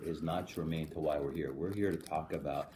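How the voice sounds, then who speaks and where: A man presiding at a public hearing, firm but halting as he steers the discussion back on point. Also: extremely low pitch, steady tone, a loud crowd.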